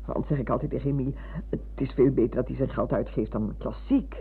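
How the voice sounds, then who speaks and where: An older actress playing a chatty, fussy, sentimental retired schoolmistress who rambles and interrupts herself in a radio play. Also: female voice, rumbling low, fairly even intonation, a little fast, a little hiss or hum. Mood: chatty, confiding. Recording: muffled phone-like old radio recording.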